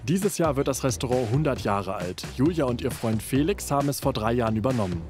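A man's voice: medium pitch, expressive, brisk, over music. A man reading a scripted report voice-over.

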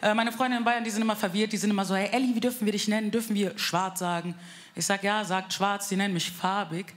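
A young woman from Bavaria doing a cheeky, deadpan stand-up set on a club stage. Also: mid-range voice, quick pace. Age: young adult. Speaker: stand-up comedian. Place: live comedy club stage. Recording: live stage mic, loud crowd and room noise.

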